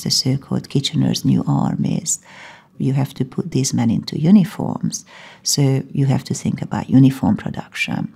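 A woman from Hungary, a historian and university lecturer giving a measured, explanatory academic talk at a conference. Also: a bass voice, creaky, warm tone, breathy edge. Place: conference venue.

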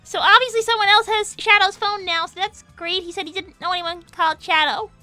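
A woman's voice: extremely high pitch, steady tone, fairly quick, pushed and bright, faint music behind. A woman voicing a sweet, lovestruck young female cartoon hedgehog who speaks in an earnest, insistent, girlish way. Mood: puzzled. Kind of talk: improvised in-character livestream Q&A.

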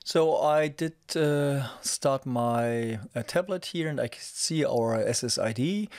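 A middle-aged man giving a casual step-by-step tech tutorial as host, with halting, hesitant phrasing. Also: mid-range voice, a little mellow, no echo, studio quality.

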